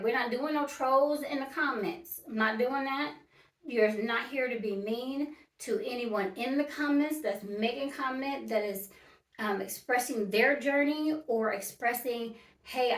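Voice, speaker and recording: A woman in her early fifties talking casually and directly to her viewers, emphatic and repeating her points. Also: mid-range voice. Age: 50s. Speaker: vlogger. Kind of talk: casual talk to camera. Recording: echoey room, mic at a distance.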